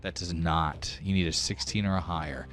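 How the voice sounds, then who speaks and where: Low-voiced man running a tabletop game. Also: moderate pace, faint music behind. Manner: relaxed, explains rules plainly with fillers. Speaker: game master.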